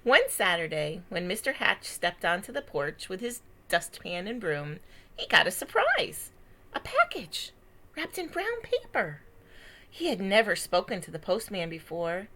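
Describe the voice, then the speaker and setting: A woman reading a picture book aloud to children as a storyteller, with expressive, dramatic emphasis and small asides. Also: mid-range voice, unhurried. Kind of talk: animated read-aloud narration with asides.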